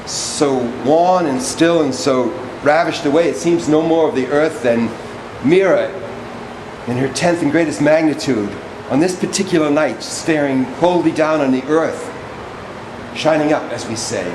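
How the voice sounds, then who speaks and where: A man playing a servile, wordy orator in a stage drama, reciting poetic text in a halting, deliberate, declamatory way. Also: fairly high pitch, noisy background, natural voice. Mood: solemn, descriptive. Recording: echoey room with music behind.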